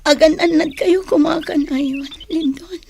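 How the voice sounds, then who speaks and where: A worried, devout sweetheart in a romantic radio drama. Her fairly high voice is hushed and pleading, with a strong creak. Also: steady tone, unhurried, slight background noise. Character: anxious devout woman parting from her lover.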